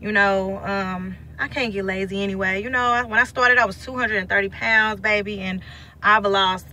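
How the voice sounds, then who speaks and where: Bright-voiced woman vlogging from a car. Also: mid-range voice, moderate pace, slight background noise. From St. Louis, USA. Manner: blunt, slangy, lively and chatty. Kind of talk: casual selfie vlog. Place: inside a parked car. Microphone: phone held at arm's length.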